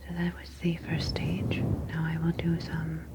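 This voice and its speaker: A woman playing a calm, superior ocean alien scientist in an ASMR roleplay, speaking in a breathy, soothing whisper with formal, deliberate phrasing. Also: low-pitched, very noisy, lightly acted. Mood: soothing, clinical.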